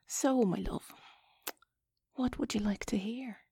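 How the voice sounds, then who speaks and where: Woman acting as flustered irish wife, breathy n husky, close dry sound, self-conscious sexy talk in an audio roleplay. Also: on the high side, warm tone, no room sound, full character voice. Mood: nervous, teasing. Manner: halting, self-conscious, coaxing, drifting into breathy whispers. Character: flustered, chatty Irish wife talking intimately to her partner. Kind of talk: intimate erotic audio roleplay.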